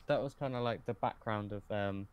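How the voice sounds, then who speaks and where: A young man talking casually as a podcast guest, looking back in a hesitant, low-key way with pauses mid-sentence. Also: lo-fi sound, slight background noise. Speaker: podcast guest, script writer. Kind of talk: casual reminiscing in interview.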